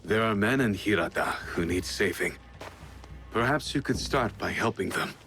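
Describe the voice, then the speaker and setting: 깊고 낮은 목소리의 의리 있는 낭인 무사. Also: male voice, on the low side, animated, moderate pace, gravelly, fairly bright tone, faint music behind. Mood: earnest, troubled. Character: loyal, weary male ronin, gruffly honorable outcast warrior. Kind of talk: video game cutscene acting.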